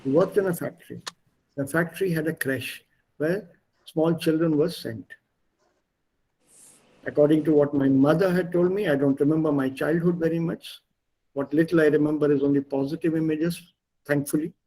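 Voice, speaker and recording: A man with an Indian accent, a native Gujarati speaker, teaching an online German class in English over a video call, explaining patiently and slowing down to stress key words. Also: on the high side, mellow, poor audio.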